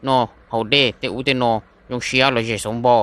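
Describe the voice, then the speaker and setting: A man's voice: moderate pace, natural voice. Man acting a young cartoon sweetheart, conversational. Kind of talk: amateur animated cartoon dialogue.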